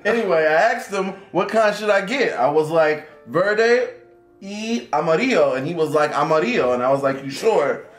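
A young man telling a playful story at a dining table, animated and laughing often. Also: on the high side, moderate pace, echoey room, soft background music. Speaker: roommate. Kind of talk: casual storytelling. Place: living room at a dining table.

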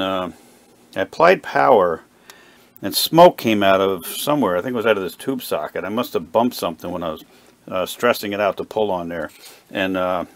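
A man giving an unscripted, hands-on repair demonstration, halting and hesitant as he thinks aloud. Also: low voice, no room sound. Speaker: hobbyist radio repairer presenting his own video. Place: indoor workbench.

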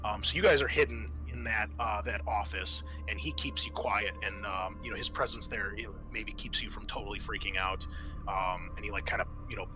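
A man narrating a tabletop roleplaying game as game master, explaining things in a halting, improvised way. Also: on the low side, over music.

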